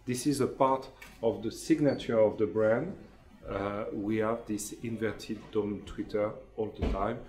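Man with halting speech. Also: lowish voice, fairly even intonation, a little mellow. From France. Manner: halting, frequent uhs, searching for English words. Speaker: company engineer guiding a tour. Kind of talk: explaining to visitors. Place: indoor factory workshop. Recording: roomy echoing workshop.

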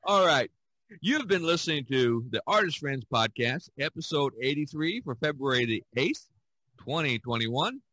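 A man's voice: a little high, sing-song, normal speed, sharp tone, no room sound, rough recording. An older man hosting a casual podcast chat, rambling and often repeating his phrases. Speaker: podcast host.

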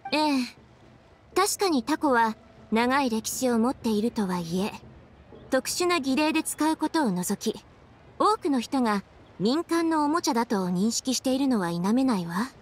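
A woman's voice: high-pitched, animated, normal speed. An actress playing a thoughtful young woman official in an anime game, composed and articulate as she explains things. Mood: earnest. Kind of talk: anime video game voice acting.

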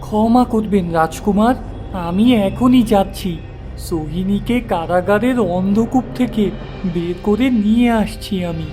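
A woman's voice: mid-range voice, measured pace, close to an everyday voice. A woman voicing an obedient palace guard in a fairy-tale cartoon, respectful and cautious. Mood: apologetic. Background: background music.

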